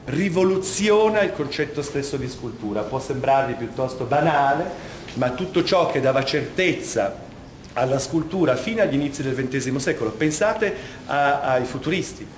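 A man's voice: medium pitch, animated, normal speed. A middle-aged man giving a discursive, emphatic guided art talk as an exhibition curator. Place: large vaulted museum gallery, indoors. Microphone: camera microphone a few steps away. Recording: echoey gallery hall, clear background noise.

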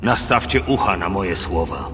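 An actor playing a menacing, vengeful, boastful husband in a scripture audio drama. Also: male voice, deep voice, lively intonation, moderate pace, a bit bright, slight background noise. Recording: cavernous reverb, dripping water ambience.

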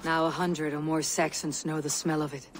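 An actress playing a loyal, calmly probing Viking warrior woman in a video game, terse and deliberate. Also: low voice, background noise, close to an everyday voice. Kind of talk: dramatic video game cutscene acting.

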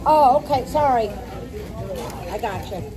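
A woman's voice: fairly high pitch, animated, unhurried, low-quality recording. A woman working as a waitress, politely serving a table in a busy diner. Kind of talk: serving customers. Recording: loud crowd and music behind.

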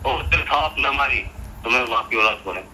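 A man's voice: medium pitch, a little fast, pushed and bright. A man with a Rajasthani accent arguing heatedly on the phone, insistent, repetitive and threatening. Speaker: retired soldier on a phone call. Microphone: telephone. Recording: noisy phone call recording.

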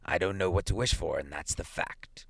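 A man voicing a mild, curious old father in a story, speaking in a slow, musing way. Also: extremely low pitch, lively intonation, gravelly, no echo, pitched below the performer's own voice, exaggerated voice. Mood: hesitant, musing.

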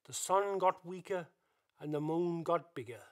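A man's voice: a little high, unhurried. A man narrating a spooky radio play as a dramatic scripted storyteller.

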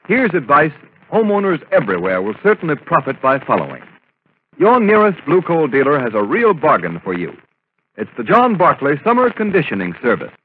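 A man announcing with a fairly high, lively voice, pitching brisk and persuasive. His voice comes over a tinny, hissy old radio transcription. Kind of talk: radio commercial read.

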